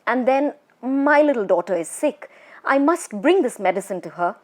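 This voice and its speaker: A woman's voice: a little high, animated, normal speed, darkish tone, pitched above the performer's own voice, lightly acted. A woman voicing a brave village man in a children's storybook, firm and dismissive, with no belief in ghosts. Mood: calm, dismissive.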